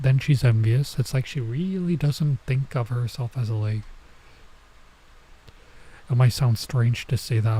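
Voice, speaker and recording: Mellow-voiced man reading a game aloud. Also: medium pitch, rough recording. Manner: stops to remark on and reread the text. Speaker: streamer playing and reading a visual novel aloud. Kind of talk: let's play read-aloud with casual side comments.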